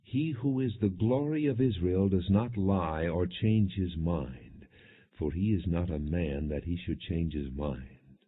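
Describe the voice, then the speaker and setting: A man's voice: low-pitched, a little slow, slightly rough. A man voicing a stern old prophet in a solemn, declamatory audiobook reading of scripture. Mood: stern rebuke. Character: stern old male prophet rebuking a king. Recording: muffled, phone-like narrow-band audio.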